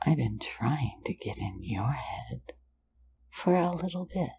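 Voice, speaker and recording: A woman's voice: extremely low pitch, very animated, slow, gravelly, dark tone, a little hiss or hum, full character voice. A woman voicing a sly, playful phantom thief in an ASMR roleplay, mostly whispering close to the mic in a teasing, soothing way. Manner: teasing, soothing, lulling listener to sleep.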